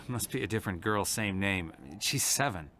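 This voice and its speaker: A man's voice: lowish voice, normal speed, sharp tone, slight background noise. An actor playing an anxious, reserved everyman father, worn out, in a video game cutscene. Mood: worried.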